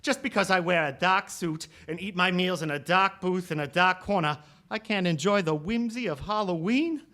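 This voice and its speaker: A man's voice: high voice, moderate pace, bright, forceful tone. A man playing a big, petulant mafia tough guy with a sentimental streak, theatrical and blustering, in a 1940s-style radio play. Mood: smitten, sentimental. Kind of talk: 1940s radio comedy-mystery acting. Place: stage before a studio audience.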